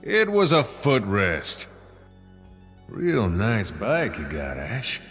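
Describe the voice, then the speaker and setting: A man's voice: fairly low pitch, wildly swooping pitch, extremely slow, fairly bright tone, in a large hall, faint music behind, full character voice. An actor playing a laid-back, wry male cowboy gunslinger in a video game. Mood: teasing. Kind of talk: video game character dialogue.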